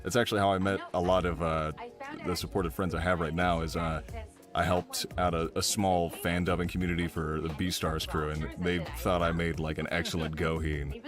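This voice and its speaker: A young American man chatting casually in an interview as a voice actor, telling stories in an animated, amused way. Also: low-pitched, fairly quick, music in the background.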